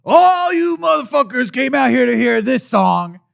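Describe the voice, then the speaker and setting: A man co-hosting a podcast, telling animated stories in casual chat, breaking into loud impressions and falsetto. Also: unhurried, vocal fry. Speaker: podcast co-host.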